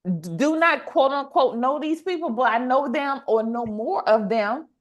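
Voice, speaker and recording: A woman hosting a podcast, chatting casually and motivationally in an animated way, repeating phrases for emphasis and acting out little quotes. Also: high-pitched, normal speed, dull audio. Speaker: podcast host.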